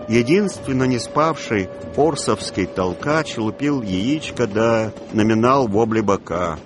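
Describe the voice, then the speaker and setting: Man, mid-pitched voice, expressive storyteller, dramatised literary narration, clear music bed. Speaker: narrator of a radio play.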